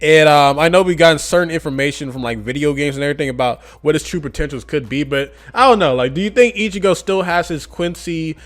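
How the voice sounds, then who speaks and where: A man's voice: fairly high pitch, fast talker, few pauses. A man giving a casual, chatty manga review, with lots of fillers and frequent laughs. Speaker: anime and manga YouTuber.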